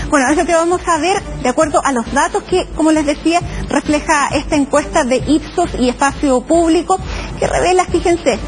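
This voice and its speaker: A woman from Chile presenting the news in a measured, formal way, introducing a report. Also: sits high, rough recording. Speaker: news anchor. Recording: music under the voice.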